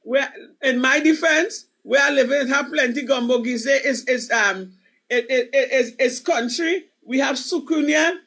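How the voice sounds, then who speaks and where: A woman's voice: sits high, unhurried, fairly bright tone. A woman in her eighties with a Trinidadian accent, hosting a live stream and chatting casually, rambling and repeating her words. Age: 80s. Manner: rambling, repeats words, affectionate sign-offs. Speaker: livestream show host.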